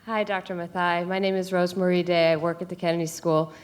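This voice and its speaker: A woman on university staff asks a composed, polite question from the audience. Her voice is smooth and fairly low, held at an even tone. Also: fast.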